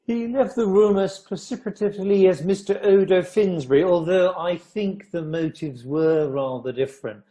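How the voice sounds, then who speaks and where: A man acting a wry, unruffled upper-class gentleman in a radio play, measured in delivery. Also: sits high, close to an everyday voice. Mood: wry, observant.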